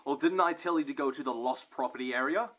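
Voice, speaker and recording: A man's voice: mid-range voice, fast, very noisy, natural voice. A man playing a bossy, exasperated male security guard in an audio play, demanding and irritated.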